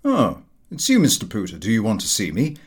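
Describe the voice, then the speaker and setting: A grave, courteous older gentleman in charge of an office, as a male narrator plays him, speaks in measured, stately phrases. He is a calm storybook employer, formal in every line. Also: fairly low pitch, breathy edge, exaggerated voice. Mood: brisk, busy.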